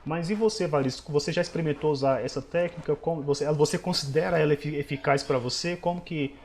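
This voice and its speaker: A man's voice: on the high side, fairly even intonation, fast talker, darkish tone, a little hiss or hum. Man steering the talk. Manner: moderating, asks questions. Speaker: podcast co-host. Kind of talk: casual podcast discussion.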